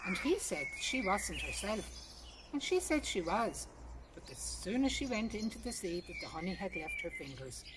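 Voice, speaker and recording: A woman's voice: medium pitch, sing-song, moderate pace, far from the mic. Irish woman storyteller, noisy birdsong behind. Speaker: storyteller reading a folk tale. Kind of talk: traditional oral folk tale narration. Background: birdsong, ambient noise.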